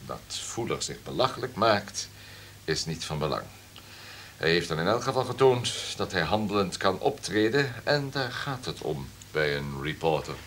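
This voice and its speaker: An actor playing a domineering, calculating radio station boss in a Dutch radio drama, with a pompous, commanding manner. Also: male voice, deep voice, big pitch swings, moderate pace, a bit bright, slight background noise, exaggerated voice. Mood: lecturing, sure. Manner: pompous, commanding, throat-clearing.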